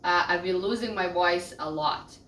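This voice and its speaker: A woman vlogging casually, her voice rough from a cold, talking loudly and rambling with self-deprecating asides. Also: on the low side, normal speed, slight background noise. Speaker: vlogger and small business owner. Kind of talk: casual chatty vlog. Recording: roomy echo, distant mic.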